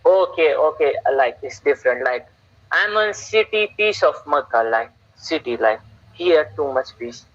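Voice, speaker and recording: A young man from Saudi Arabia, a guest on a casual live stream, talking haltingly with many repeats and fillers. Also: on the high side, lively intonation, fairly bright tone, lo-fi sound. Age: young adult.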